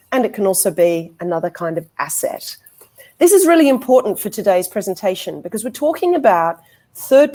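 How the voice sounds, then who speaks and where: A woman's voice: mid-range voice, a little mellow. Australian woman lecturing on a video call. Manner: articulate, measured, with frequent ums. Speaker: law lecturer presenting. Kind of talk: conference presentation. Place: indoor home office with bookshelves. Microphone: wired earbuds on a video call.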